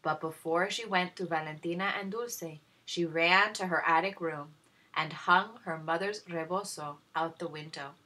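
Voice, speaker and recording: A woman reading a children's story aloud in expressive narration. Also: fairly low pitch, normal speed, rough recording. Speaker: story reader.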